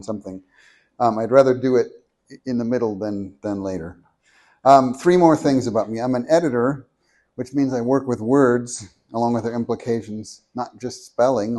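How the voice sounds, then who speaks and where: A soft-spoken man reading a prepared talk into a handheld microphone at a meeting, measured and deliberate, with small restarts. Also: mid-range voice. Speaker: speaker at a meeting. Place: meeting room with an audience.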